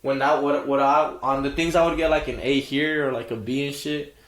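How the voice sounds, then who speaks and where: A man's voice: medium pitch, steady tone, brisk. A young man in his twenties from Mexico, a native Spanish speaker, talking loosely and swearing freely as a podcast guest. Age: 20s. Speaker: guest musician. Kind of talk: casual podcast chat. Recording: roomy echo.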